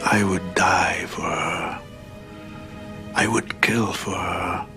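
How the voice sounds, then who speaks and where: An actor playing an ardent, lovestruck gothic husband in a film comedy, murmuring with hushed intensity. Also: male voice, low voice, fairly even intonation, slow pace, creaky, music in the background, heavily acted. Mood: smouldering adoration. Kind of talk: romantic comedy film acting.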